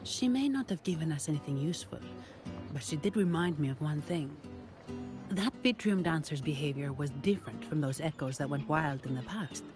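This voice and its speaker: An actress playing a level-headed investigator in a video game, explaining things in a composed, matter-of-fact way. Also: female voice, low voice, lively intonation, fairly quick, music in the background. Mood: composed, thoughtful. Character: level-headed female investigator guiding a foreign guest.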